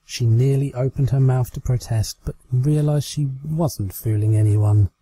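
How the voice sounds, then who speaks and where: A man's voice: mid-range voice, fairly even intonation, unhurried, warm tone, slightly rough, dry recording. A British man reading a story aloud as a scripted amateur narration. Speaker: amateur fan fiction reader.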